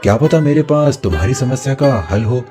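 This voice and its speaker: A man's voice: mid-range voice, expressive, music in the background, close to an everyday voice. A man voicing a kindly, reassuring holy sage with magical powers in a moral-story cartoon. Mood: gentle, reassuring. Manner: gentle, reassuring, unhurried.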